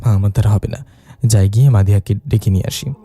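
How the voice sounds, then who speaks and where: A man's voice: lowish voice, steady tone, fast, warm tone, breathy edge. A man voicing a cowardly, dithering young man who mutters hesitantly and puts on bravado in a story. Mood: nervous dithering.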